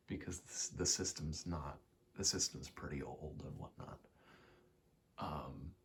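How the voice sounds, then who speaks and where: A soft-spoken man giving a hesitant, self-deprecating ASMR ramble. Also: sits low, vocal fry, slight background noise. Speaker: video game ASMR creator.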